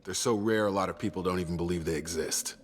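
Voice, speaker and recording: A man playing a steady, pragmatic soldier squadmate in a video game, with a matter-of-fact manner. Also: sits low, fast talker, a little hiss or hum, lightly acted. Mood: explaining.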